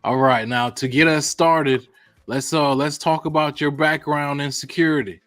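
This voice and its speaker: A man's voice: a little high, steady tone, moderate pace, fairly bright tone, dry recording. A man hosting a podcast, upbeat in his announcements and casual in conversation, calling his guest bro. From United States. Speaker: podcast host.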